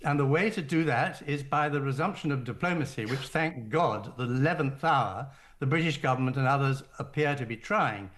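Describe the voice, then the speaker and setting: A man guest on a political talk show, arguing in a deliberate, halting way with many restarts. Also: mid-range voice, fairly bright tone. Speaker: caller guest. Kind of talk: political argument on air.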